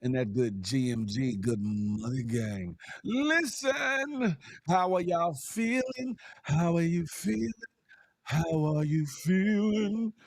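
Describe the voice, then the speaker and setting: Hoarse, animated man hosting a morning show. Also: slow pace, no room sound. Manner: animated, swoops in pitch, preacher-like encouragement. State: scratchy, strained voice. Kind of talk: lively live-stream show opening.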